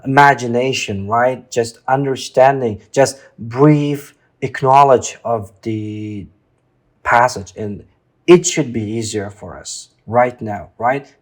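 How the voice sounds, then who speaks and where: Slow, halting male tutor. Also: lowish voice. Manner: halting, often checks with "right?". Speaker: English exam tutor. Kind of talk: informal lesson, walking through a reading test.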